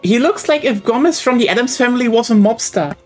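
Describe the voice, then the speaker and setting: A woman's voice: on the high side, lively intonation, fast, darkish tone, faint music behind. A woman who learned English as a second language, chatting casually and teasing her friends during a game session. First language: not English. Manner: sarcastic and teasing, laughs often. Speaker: co-player in a streamed game session. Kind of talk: casual chat.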